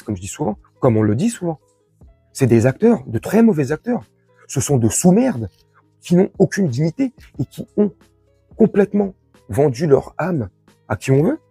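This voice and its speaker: A man from France with a husky voice, giving an informal, crude and sarcastic opinion rant to his followers as an online commentator. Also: on the high side, dark tone, no room sound. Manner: crude, slangy, sarcastic, indignant.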